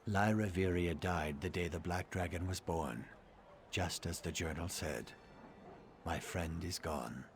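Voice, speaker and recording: An actor playing a grim, vengeful assassin in a fantasy game, speaking in a measured, menacing, formal way. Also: male voice, sits low, a little hiss or hum. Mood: bitter, vengeful. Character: grim vengeful male assassin of a dark brotherhood. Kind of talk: scripted fantasy game dialogue.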